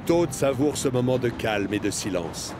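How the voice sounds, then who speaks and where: A man's voice: mid-range voice, animated, moderate pace, close to an everyday voice. A man with a standard French accent narrating a children's cartoon in a scripted storytelling style. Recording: music behind the voice.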